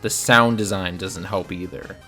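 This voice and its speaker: A man's voice: low voice, steady tone, measured pace, over loud music. A man narrating a scripted video review in a casual, opinionated manner. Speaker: film reviewer.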